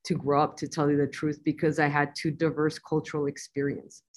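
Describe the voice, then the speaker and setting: A woman from the US-Mexico border answers interview questions in a low, earnest voice. She is bilingual and talks in a measured way, pausing on small fillers as she explains her work. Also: flat delivery. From US-Mexico border, Nogales. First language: bilingual Spanish and English. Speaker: guest, community-engaged scientist.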